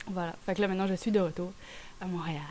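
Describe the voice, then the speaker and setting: A young woman with a Québécois accent chats casually as a guest, rambling with plenty of fillers and slipping into English words. Her voice sits fairly low for a woman. Faint background noise runs under the recording. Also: steady tone, rapid-fire, darkish tone. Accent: Québécois French. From France. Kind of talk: casual chat.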